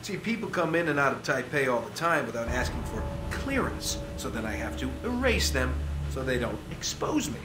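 An actor playing an unhinged, chatty rogue CIA operative in a video game, rambling with flippant, jokey menace. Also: male voice, mid-range voice, big pitch swings, normal speed, roomy sound, over music, lightly acted. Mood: manic, breezy. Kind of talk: video game cutscene acting.

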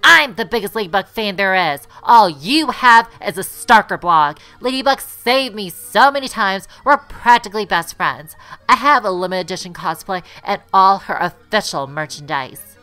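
A woman's voice: medium pitch, animated, moderate pace, pushed and bright, smooth, soft background music. A woman playing a bratty, boastful, haughty teenage girl in a cartoon comic dub. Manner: indignant, snooty.

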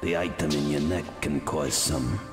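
An actor playing a smug, courteous crime boss in a video game, speaking deliberately with menacing, formal politeness. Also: male voice, a bass voice. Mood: calm veiled threat. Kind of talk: video game cutscene acting. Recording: loud music under the voice.

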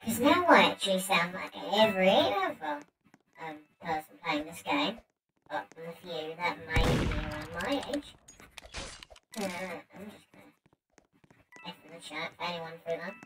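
A man's voice: high voice, expressive, moderate pace, reverberant, noisy background, voice pushed up. A man putting on a playful, female-sounding young gamer through a voice changer, rambling hesitantly in casual gameplay commentary.

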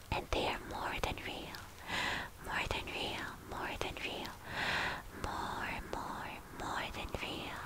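A woman doing an ASMR roleplay as a tender, protective anime demon girlfriend, in a breathy whisper, repeating words soothingly. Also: close to an everyday voice, rough recording. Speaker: ASMR performer.

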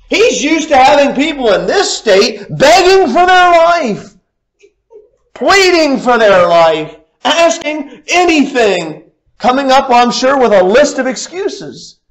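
A young man preaching a sermon at a church pulpit, swinging from loud, raised lines to low, quiet ones, picked up by a distant camera.

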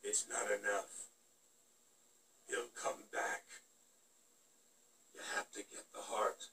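A gruff, weary puppet demon hunter from a TV series, low and gravelly. He speaks slowly and grimly, heard from a distance through television playback. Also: flat delivery, sharp tone, exaggerated voice. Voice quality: gravelly, creaky. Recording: distant TV playback with faint noise.

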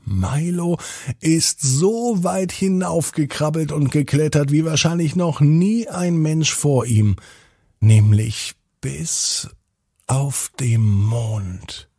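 A man narrating a bedtime story for children as a podcast host, phrasing deliberately with dramatic pauses. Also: fairly high pitch, mellow, breathy edge, no echo, studio quality.

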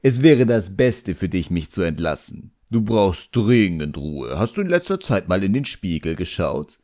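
A man voicing a cocky, talkative djinn in an audiobook, mocking and smooth-talking. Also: sits low, big pitch swings, moderate pace, darkish tone. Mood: flattering. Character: cocky, sarcastic, chatty ancient djinn, teasing and flattering.

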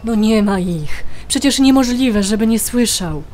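A woman's voice: a little high, expressive, a little slow, dark tone, a little hiss or hum. An actress playing the uneasy, reluctant mother of a grown daughter in a naturalistic radio crime drama.

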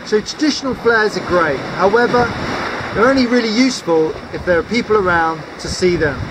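A man's voice: high voice, animated, a little fast, background noise. A man in his thirties from the UK, giving a practical, upbeat demonstration of safety gear to a camera outdoors on coastal rocks. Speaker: sea kayaking instructor.

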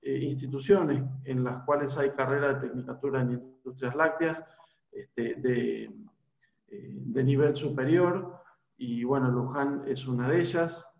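A man's voice: mid-range voice, steady tone, unhurried, creaky, darkish tone. A man in his forties with an Argentine accent, a university lecturer talking to prospective students on a video call, hesitant with many fillers and restarts. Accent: Argentine Spanish. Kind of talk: informal talk to prospective students. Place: small room at home. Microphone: laptop webcam on video call. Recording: echoey room, dull lo-fi video call.

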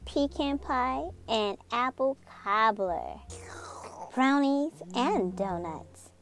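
Animated, high-voiced woman reading a storybook. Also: very slow. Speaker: children's book reader, channel host. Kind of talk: expressive storybook read-aloud. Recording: music behind the voice.

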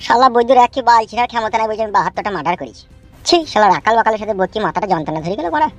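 A woman voicing a cocky, sharp-tongued male sidekick in a comedy cartoon, using slangy, sweary speech. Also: mid-range voice, expressive, rapid-fire, lightly acted. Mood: mocking. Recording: faint music under the voice.

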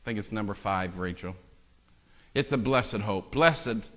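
A man preaching a sermon as pastor, swinging from hushed, joking asides to loud, impassioned exclamations. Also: lowish voice, measured pace. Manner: self-deprecating joking with the congregation. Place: church sanctuary. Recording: long church-hall reverb.

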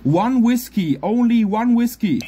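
High-pitched man calling on ham radio. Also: expressive, unhurried, mellow, a little hiss or hum. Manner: clipped, repetitive callsign spelling with radio phonetics. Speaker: amateur radio operator. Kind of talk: amateur radio contest exchanges. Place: outdoors at a garden picnic table.

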